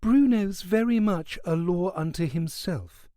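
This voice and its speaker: A man's voice: high-pitched, a little slow, dark tone, no room sound, clean studio sound, voice pushed up. A man doing a composed young woman hostess. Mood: anxious.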